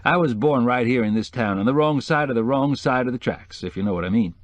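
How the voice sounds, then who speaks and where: A man's voice: medium pitch, brisk, dry recording, voice pushed up, close to an everyday voice. A man voicing a witty, flamboyant decorator in an audiobook, sprinkling French phrases into hip slang. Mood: gleeful, relishing. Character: flamboyant, witty male interior decorator, sly and gushing. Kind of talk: acted audiobook dialogue.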